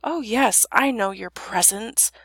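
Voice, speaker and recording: A woman plays a huge old storybook dragon with a growling rumble. He is gruff but soft-hearted and speaks in humble, deferential phrases. The voice is creaky and pitched up, recorded close and dry. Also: takes it slowly, no room sound, heavily acted. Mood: grumpy, defiant.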